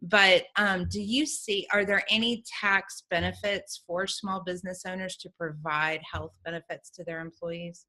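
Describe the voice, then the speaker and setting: A woman's voice: fairly low pitch, fairly even intonation, moderate pace, hardly any pauses, sharp tone, rough recording. A woman hosting a conversational business interview, asking questions of her guests. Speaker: host.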